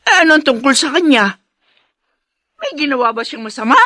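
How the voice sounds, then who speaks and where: Concerned Filipino mother, high bright voice, gently scolding, radio play. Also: expressive, moderate pace, no room sound, clean studio sound. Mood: curious. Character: caring, fussing mother of a pregnant daughter. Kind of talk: Filipino radio drama acting.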